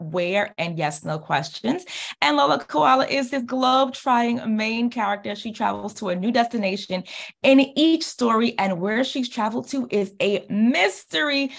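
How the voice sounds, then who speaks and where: An American woman in her thirties speaking in an expressive, encouraging teacher manner, as if reading aloud to young children. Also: fairly high pitch, gravelly. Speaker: speech pathologist and children's author. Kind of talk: children's read-aloud with questions. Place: small indoor office, on a video call.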